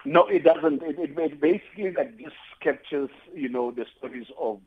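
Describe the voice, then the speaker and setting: A South African man giving conversational interview answers in a halting way, often saying "you know". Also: on the high side, husky. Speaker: guest, theatre playwright and producer. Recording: tinny phone-like line.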